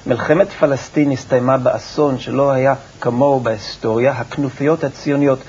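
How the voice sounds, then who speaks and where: A man giving explanatory answers as an expert guest in a television interview, with a halting, repetitive delivery and a creaky voice. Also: mid-range voice, steady tone, darkish tone. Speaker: research institute director, interview guest. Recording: muffled, noisy TV broadcast recording.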